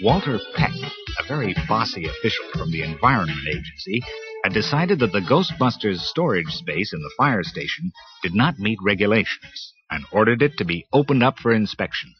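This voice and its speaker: Male read-along narrator, lowish and very lively, dramatic delivery over clear music. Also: moderate pace. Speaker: storybook narrator. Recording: dull 1980s cassette.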